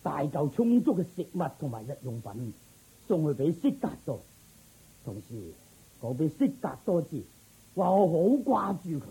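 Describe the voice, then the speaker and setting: An actor playing a commanding, doting king in a radio drama, speaking with deliberate authority. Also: a little high, very animated, creaky, warm tone, slightly rough, heavily acted, rough recording. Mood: commanding, tender. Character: commanding, doting king worried for his son.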